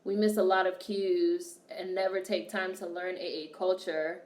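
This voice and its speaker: A woman's voice: on the low side, level tone, normal speed, darkish tone. A young woman hosting a live watch-along stream, talking bluntly and candidly to her chat. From United States. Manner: blunt, candid and profane, reacting to chat comments. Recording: roomy sound, voice distant from the mic.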